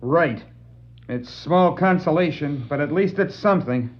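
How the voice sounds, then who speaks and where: An actor playing an eager, earnest young superhero sidekick with keen, emphatic delivery on a 1960s adventure record. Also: male voice, a little high, measured pace, close to an everyday voice. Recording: dull 1960s vinyl record dramatization.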